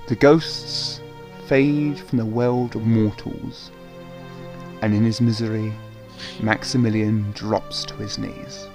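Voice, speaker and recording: A man narrating a scripted horror radio play in a dramatic, measured way. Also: on the low side, little pitch movement, darkish tone, faint music behind.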